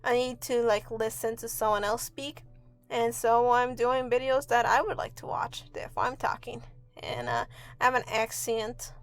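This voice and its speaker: A woman's voice: fairly high pitch, level tone, normal speed. A woman from Mexico, giving a casual, rambling voice-over in an offhand, self-deprecating, sweary way. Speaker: video artist and host. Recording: amateur home recording with music underneath. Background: music.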